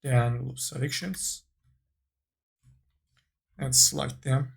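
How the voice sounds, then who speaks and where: A man with a gravelly, husky voice talks through a software tutorial. He goes slowly, in short halting phrases, thinking aloud as he works through each step. His delivery is unscripted and instructional. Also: medium pitch, level tone.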